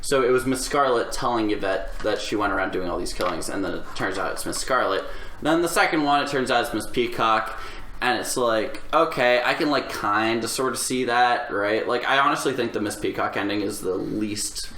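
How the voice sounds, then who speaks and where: A man's voice: fairly low pitch, moderate pace, bright, forceful tone, slight background noise. Casual man chatting in an echoey room. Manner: informal, sweary, talks in loose run-ons. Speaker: podcast panelist. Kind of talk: casual film discussion among friends.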